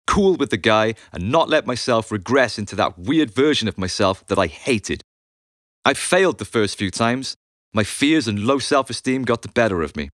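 A man reads his own memoir as an audiobook, with an animated, widely moving voice. His delivery is conversational and blunt, with firm emphasis on his points. The sound is dry and close, free of room echo. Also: medium pitch. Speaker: author and musician reading own audiobook. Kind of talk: audiobook narration of a candid memoir.

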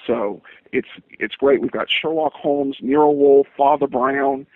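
A man giving conversational interview answers as a podcaster, in a halting, rambling way. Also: medium pitch, dry recording. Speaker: podcast host, interviewed guest. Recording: muffled phone-like line.

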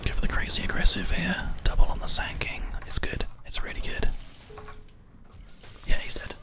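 Whispering ASMR gamer, very close. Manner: calm, unhurried, murmured running commentary. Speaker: host and gamer narrating play. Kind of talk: whispered ASMR game commentary. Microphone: close binaural microphone, ear to ear. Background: keyboard typing and mouse clicks.

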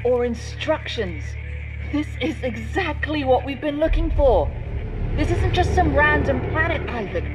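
Woman playing high, urgent space crewmate. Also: lively intonation, moderate pace, few pauses, lightly acted, poor audio. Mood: excited realization. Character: keen, urgent female space crew member. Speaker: video game character. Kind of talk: game cutscene acting. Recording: music behind the voice.

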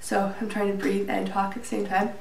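A woman's voice: medium pitch, little pitch movement, a little fast, gravelly, darkish tone. A woman in her thirties with a stuffy, nasal cold, chatting casually to camera about beauty products, a little out of breath and using fillers. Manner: chatty, with fillers and small laughs. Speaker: beauty vlogger. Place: small indoor room, plain wall. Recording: roomy, slightly distant with faint hiss.

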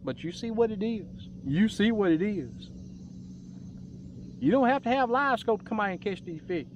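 A middle-aged man giving casual, unhurried, rambling fishing commentary in a folksy way, outdoors on a boat. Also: high voice, expressive, highs cut off, noisy background. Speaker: angler hosting a fishing vlog. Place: open boat on a lake, outdoors.

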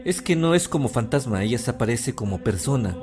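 An actor playing a calm, authoritative village priest in an audio drama, reassuring and giving instructions. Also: male voice, mid-range voice, a little fast, over music, lightly acted. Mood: reassuring, firm.

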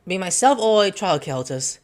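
A young woman casually commenting as she plays a game at home, reacting loudly to the screen. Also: medium pitch, a little slow, soft background music. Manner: excitable, talks back to the screen. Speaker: gameplay streamer. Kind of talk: casual let's-play commentary.

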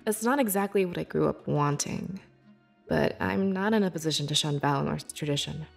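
A woman playing a young, devoted beast-folk scribe in a fantasy video game, with earnest, measured and expressive delivery. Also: low-pitched, creaky, soft background music. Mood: explanatory, friendly. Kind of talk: scripted video game character dialogue.

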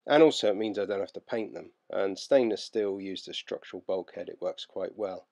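A man in his thirties from the UK, casually narrating his boat-building work in a relaxed, practical way with frequent ums. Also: lowish voice.

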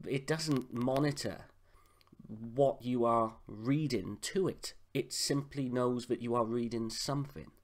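Slightly hoarse man giving a tutorial. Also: mid-range voice, measured pace. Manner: relaxed, conversational, frequent "uh" fillers. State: slightly hoarse from a sore throat. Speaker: software tutor. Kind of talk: screen-recorded tutorial. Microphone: voice-over on a screen recording.

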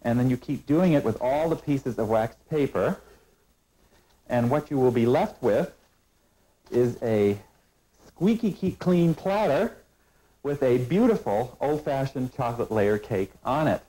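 Middle-aged guy demoing a cake recipe on a tv kitchen set, calm step by step, mellow voice. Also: mid-range voice, measured pace, poor audio. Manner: calm step-by-step instructive delivery. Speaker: cooking show host. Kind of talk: scripted cooking demonstration.

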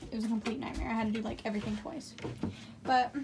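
A woman's voice: fairly high pitch, fairly even intonation, fast talker, gravelly, background noise. A young woman co-hosting a van build vlog, talking casually and chatty, often exasperated. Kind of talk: casual chat. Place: small living room, indoors.

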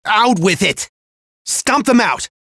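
A man's voice: sits high, expressive, takes it slowly, bright, forceful tone, breathy edge, no echo, studio quality, exaggerated voice. A man playing a wrathful, scornful fire god in a video game, bellowing in a grandiose, theatrical way. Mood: scornful fury. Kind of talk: theatrical game villain lines.

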